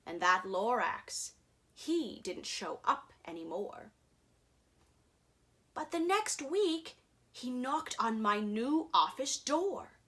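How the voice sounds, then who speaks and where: A woman narrates a rhyming storybook with theatrical expression. Her voice is high and bright, moving dramatically up and down. She takes her time over the lines. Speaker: performer reading a storybook.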